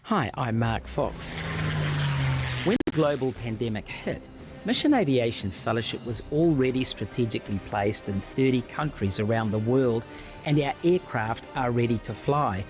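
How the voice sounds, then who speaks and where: A man delivers a scripted charity appeal in a calm, measured way. His voice sits mid-range, and faint music plays behind it. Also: medium pitch. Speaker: charity spokesman in an ad.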